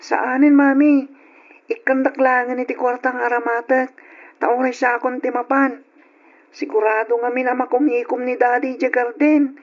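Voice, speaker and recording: Woman playing a high-voiced, polite schoolboy. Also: level tone, moderate pace, close to an everyday voice. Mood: reassuring. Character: polite, ailing schoolboy son. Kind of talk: old Ilocano radio drama acting. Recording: dull, lo-fi old radio recording.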